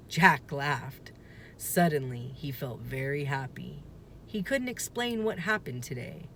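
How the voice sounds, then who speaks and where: A woman with a low voice reads a children's storybook aloud. Her delivery is slow and deliberate, rising and falling expressively. Some rumbling noise runs underneath. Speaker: storybook reader.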